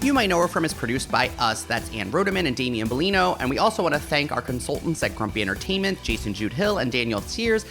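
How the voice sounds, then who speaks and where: An American man co-hosting a podcast in a casual, excitable, chatty way, often saying "like". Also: mid-range voice, fast, hardly any pauses, over music. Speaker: podcast co-host.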